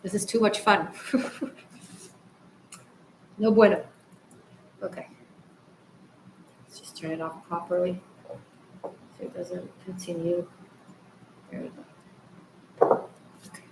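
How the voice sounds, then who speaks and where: Woman from Israel, livestream host, laid-back rambling chat, lowish voice. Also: takes it slowly, long pauses, slight background noise, poor audio. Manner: relaxed, chatty, with frequent restarts and soft trailing asides. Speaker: livestream host, tarot reader. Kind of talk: casual livestream chat reading comments.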